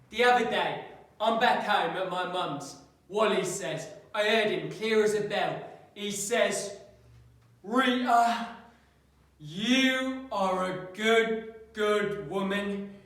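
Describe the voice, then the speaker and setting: Man acting a high-voiced, chatty, sly storyteller, echoey room. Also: expressive, slow, a bit bright, exaggerated voice. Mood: animated storytelling. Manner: colloquial, emphatic, needling storytelling. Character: Patsy, chatty, sly working-class man telling stories. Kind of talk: dramatic audition monologue. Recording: very echoey room, slightly distant mic.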